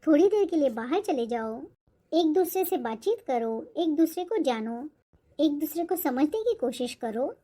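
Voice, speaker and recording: A woman's voice: high-pitched, very animated, unhurried, warm tone. A woman voicing a showy middle-aged mother of a bride in a cartoon story, bossy in a sugary, boastful way. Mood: sweetly bossy. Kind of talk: Hindi cartoon moral-story voice acting.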